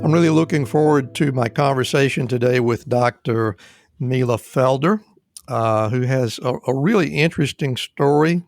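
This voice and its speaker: A man hosting a podcast interview, speaking haltingly with many fillers and restarts. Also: mid-range voice, faint music behind. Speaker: podcast host.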